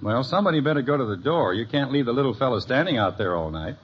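A man's voice: medium pitch, expressive, quick pace, close to an everyday voice. A man playing a wry, kindly but firm middle-aged father in a 1950s radio sitcom. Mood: brisk, firm. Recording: dull, faintly noisy old radio recording.

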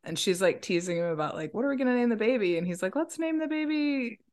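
A woman co-hosting a podcast in casual chat, laughing often and trailing off softly. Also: a little high, animated, fast talker. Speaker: podcast co-host.

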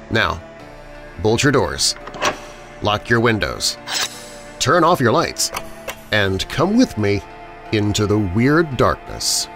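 A man hosting a podcast, reading a scripted introduction to old-time radio with theatrical, deliberate emphasis. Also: medium pitch. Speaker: podcast host. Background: background music.

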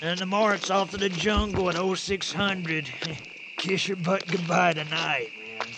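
A man playing a jeering young army grunt with drawn-out, mocking slang in a comic audio drama. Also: high-pitched, heavy background noise.

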